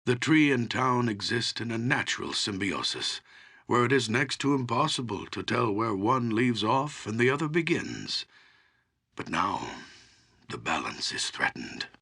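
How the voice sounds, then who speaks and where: An aged, grave druid guardian of nature from a fantasy video game. The voice is low and rough with gravel, yet forceful and intense. He speaks with deliberate, portentous solemnity, like a storyteller of old lore. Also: full character voice. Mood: grave, foreboding. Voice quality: gravelly, husky.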